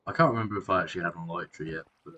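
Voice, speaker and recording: A man. He rambles, corrects himself and pauses on fillers, with a faint hiss of noise under the voice. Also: low-pitched, pushed and bright, highs cut off. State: sore throat, clearing throat and coughing. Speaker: Minecraft video creator. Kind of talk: casual gameplay narration.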